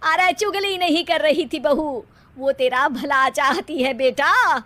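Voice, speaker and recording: Woman playing a high-voiced, gently reproving cartoon mother. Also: takes it slowly, dry recording, exaggerated voice. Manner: motherly, advising. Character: caring middle-aged mother, gently reproving her grown son.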